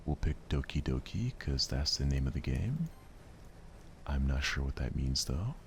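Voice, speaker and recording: A soft-spoken man doing ASMR let's play commentary on a game, murmuring to himself without hurry over some audible background noise. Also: extremely low pitch, big pitch swings, moderate pace. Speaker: gaming streamer playing a visual novel.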